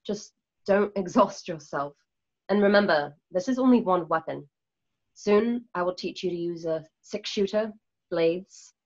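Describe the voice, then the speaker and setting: An actress playing a calm, firm weapons mentor with formal, measured wording in a radio play. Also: female voice, on the low side. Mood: instructive. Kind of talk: remote radio play acting. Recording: dull lo-fi home microphone.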